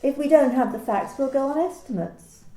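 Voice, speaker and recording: A woman playing a coolly clinical office manager in an amateur radio play, asking personal questions in a calm, matter-of-fact, bureaucratic way. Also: sits high, moderate pace, roomy sound. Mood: businesslike.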